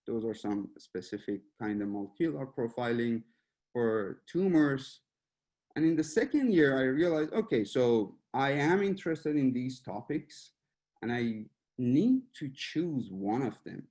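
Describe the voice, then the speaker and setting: Iranian-born man giving a relaxed webinar talk. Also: medium pitch, measured pace. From Iran. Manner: relaxed, searching for words, with small laughs. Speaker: machine learning researcher giving a webinar.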